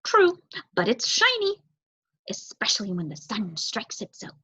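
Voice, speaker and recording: Woman voicing an eager young male crow, animated. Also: on the high side, unhurried, slightly rough, full character voice. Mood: bright, chatty.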